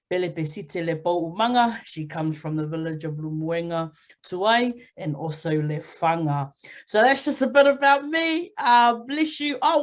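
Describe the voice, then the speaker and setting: A young woman from New Zealand, a guest on a video call interview, talking about her developer job in a bubbly way and laughing often. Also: medium pitch. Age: young adult.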